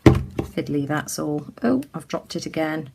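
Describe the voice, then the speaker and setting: A woman from the UK giving a casual craft tutorial as she works, chatty and full of ums, her voice a little croaky. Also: sits low, expressive, measured pace, very noisy. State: slightly croaky. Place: indoors at a craft table.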